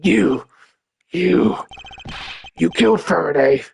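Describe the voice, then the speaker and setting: A woman voicing an outraged male lawman in a video game, halting and confrontational as he demands answers. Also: on the low side, animated, gravelly, a little mellow, a little hiss or hum, full character voice. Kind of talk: game character voice acting.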